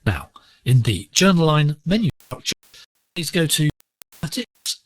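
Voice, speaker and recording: A man presenting a scripted educational lesson on shortwave digital radio, explaining in a measured, deliberate way. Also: fairly high pitch, very animated, husky, no room sound. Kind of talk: scripted instructional narration.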